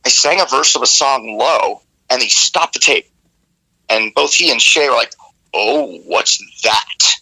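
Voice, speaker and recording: A man, a rock vocalist, telling studio stories in a candid, animated way in an interview, with casual swearing. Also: medium pitch, a little slow, husky, rough recording.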